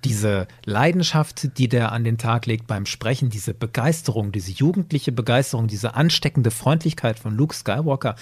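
A man's voice: medium pitch, lively intonation, moderate pace, hardly any pauses, a little mellow, husky, no echo. An enthusiastic man chatting as a podcast co-host. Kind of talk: casual discussion. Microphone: close podcast microphone.

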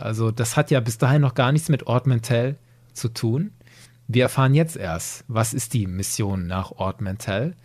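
A man's voice: medium pitch, a little mellow, breathy edge. A man hosting a podcast, retelling a story in a conversational way. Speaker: podcast host.

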